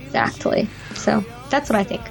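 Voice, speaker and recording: A woman's voice: medium pitch, big pitch swings, moderate pace, creaky, music in the background, low-quality recording. A woman co-hosting a podcast in an informal, chatty style. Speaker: podcast co-host. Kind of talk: casual chat.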